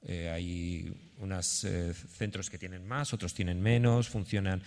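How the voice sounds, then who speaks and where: A man giving an informal conference presentation in a halting, explanatory way, as if thinking aloud. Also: deep voice, steady tone, darkish tone. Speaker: theatre institution representative presenting a project. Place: conference hall. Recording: echoey hall with long reverb.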